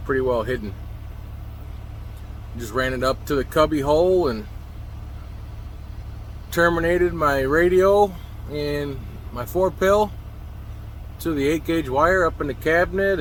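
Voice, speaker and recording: A man's voice: medium pitch, a little hiss or hum, rough recording. A man talking informally in a rambling, plain-spoken way, giving an unscripted how-to walkthrough on a handheld recording in a truck cab. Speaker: truck driver filming a DIY install video. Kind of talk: casual unscripted how-to vlog. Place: inside a semi truck cab.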